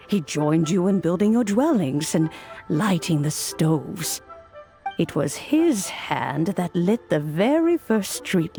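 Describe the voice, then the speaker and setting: A woman's voice: low voice, creaky, dark tone, exaggerated voice. An actress playing a serene, wise immortal adeptus in a video game, telling old legends in a formal, reverent way with music behind her. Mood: solemn. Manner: measured, formal, solemn storytelling delivery. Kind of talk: dramatic video game cutscene narration. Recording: background music under voice.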